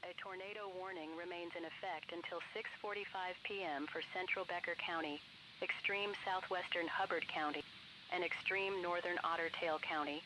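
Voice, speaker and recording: A man's voice: high-pitched, fairly even intonation, normal speed. A man's voice, like text-to-speech, reading a scripted emergency weather bulletin over a noisy radio. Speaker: weather radio warning announcer.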